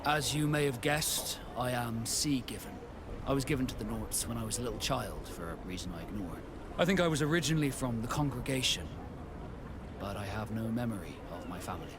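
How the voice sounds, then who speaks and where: An actor playing a reserved, dry sea captain in a video game, curt but polite. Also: male voice, medium pitch, expressive, brisk, background noise, natural voice. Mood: matter-of-fact. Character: guarded, dry sea captain, reluctantly at service.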